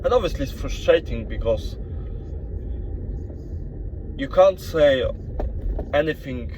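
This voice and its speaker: A man with a Polish accent vlogging casually from inside a car, talking in a halting way and leaning on "you know" and restarts. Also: a little high, lively intonation, darkish tone. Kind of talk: casual monologue to camera. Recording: dull sound with car and road noise.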